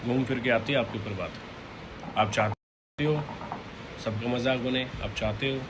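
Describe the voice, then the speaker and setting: A man addressing followers informally and unscripted, halting and repeating his phrases, in a noisy recording. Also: medium pitch, steady tone. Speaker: online content creator. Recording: clearly noisy ambient background.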